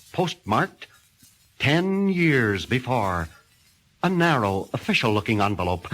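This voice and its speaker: A man's voice: medium pitch, fairly bright tone, poor audio. A man narrating a horror story in a theatrical, suspenseful reading style.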